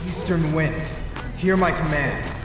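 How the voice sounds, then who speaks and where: An actor playing an imperious pirate sorcerer, slowly intoning a command to the winds, distant and echoing. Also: male voice, a little high, expressive. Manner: slow incantation. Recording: distant, very echoey, under loud music.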